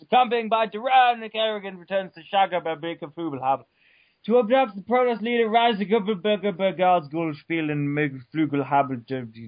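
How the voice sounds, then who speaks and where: A man's voice: high voice, no room sound, lo-fi sound. Theatrical man in a mock-dramatic reading. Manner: theatrical, stumbling over names with blah blah. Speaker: reader.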